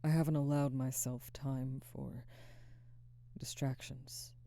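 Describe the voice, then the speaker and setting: Woman playing a guarded werewolf alpha, very dark, mellow voice. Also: extremely low pitch, little pitch movement, a little hiss or hum, exaggerated voice. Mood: apologetic, burdened. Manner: hesitant, soft-spoken, halting, breathy sighs. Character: guarded adult female werewolf pack alpha, awkward, burdened. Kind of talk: ASMR audio roleplay, intimate scripted monologue. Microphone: close microphone, dry.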